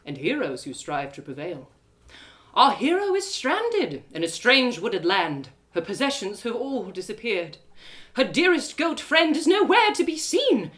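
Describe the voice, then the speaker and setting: Casting: woman storyteller-host, theatrical scripted narration, lilting verse delivery, highly varied intonation. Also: fairly bright tone.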